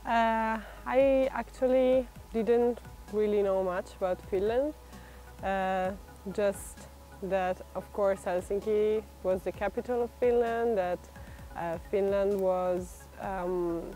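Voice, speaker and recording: A woman's voice: medium pitch, steady tone, slow, warm tone, faint music behind, low-quality recording. A young woman with an Italian accent, speaking hesitantly with many fillers in a documentary interview, recorded outdoors in a park. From Italy. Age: young adult. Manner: hesitant, many fillers, laughs while talking. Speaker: exchange student. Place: outdoor park, sitting under a tree.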